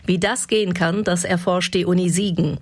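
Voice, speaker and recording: Woman, regional news presenter, radio studio, measured scripted reading, fairly low voice. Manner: even, measured delivery.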